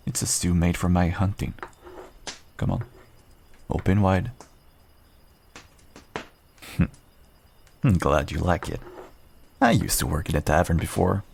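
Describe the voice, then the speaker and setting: Man playing a gruff, deep-voiced half-wolf rogue. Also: darkish tone, a little hiss or hum, full character voice. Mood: guarded, caring. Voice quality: low, gravelly, husky. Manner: unhurried, dry, understated, self-deprecating. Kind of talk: intimate fantasy roleplay audio acting.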